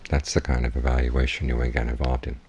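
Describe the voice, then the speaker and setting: A man with a husky voice giving a guided meditation talk as a Buddhist teacher, in measured, plainspoken instruction. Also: a bass voice, flat delivery, darkish tone, a little hiss or hum.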